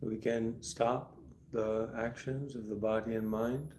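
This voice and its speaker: Slow, mellow man guiding meditation. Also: mid-range voice, a little hiss or hum. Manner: calm, deliberate, instructing in short phrases with long pauses. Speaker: meditation teacher. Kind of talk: guided meditation.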